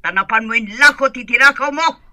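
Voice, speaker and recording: A woman's voice: on the high side, a little fast, sharp tone, no room sound. A woman playing a haughty, hot-tempered employer in a radio drama, scolding imperiously with an Ilocano Filipino accent. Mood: accusing, angry.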